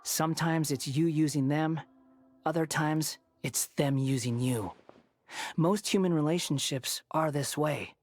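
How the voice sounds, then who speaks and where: A man voicing a cynical, haughty young villain in an anime game, husky, sardonic and aloof. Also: fairly high pitch, measured pace. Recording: soft music behind the voice.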